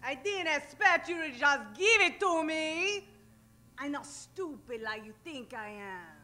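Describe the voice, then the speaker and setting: A woman's voice: high-pitched, lively intonation, a little slow, pushed and bright, heavily acted. A woman playing a brazen, vengeful Mexican bandit in an old-time radio comedy western, speaking broken English with a Mexican accent and a taunting, theatrical manner. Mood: defiant, menacing.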